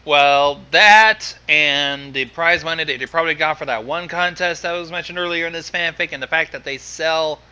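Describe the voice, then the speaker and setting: Male co-commentator, bright forceful voice, highish and animated, sarcastic casual banter. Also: normal speed, few pauses, clear, resonant tone, highs cut off. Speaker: guest commentator. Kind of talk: casual banter over a story reading.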